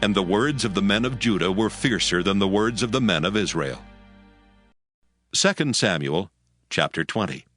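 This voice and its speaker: Male narrator for audio Bible: deepish, expressive, solemn storytelling with soft music underneath. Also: normal speed.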